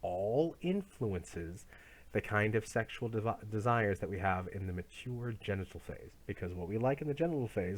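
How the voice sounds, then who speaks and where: A man with a low voice lectures to a class in an easy, conversational way. He often stumbles and restarts his sentences as he explains. He sounds like he is in his thirties. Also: husky. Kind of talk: recorded college lecture.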